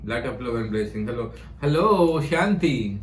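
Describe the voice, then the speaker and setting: A man's voice: medium pitch, big pitch swings, moderate pace, reverberant. A man hosting a video call as a spiritual teacher, joking playfully in broken English and dropping in Spanish phrases. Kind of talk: casual chat.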